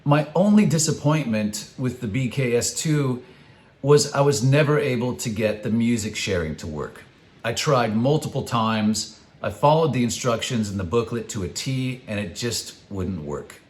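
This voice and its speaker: A man presenting a product review as scripted narration, with measured, clearly phrased delivery. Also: mid-range voice, animated. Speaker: product reviewer and channel host.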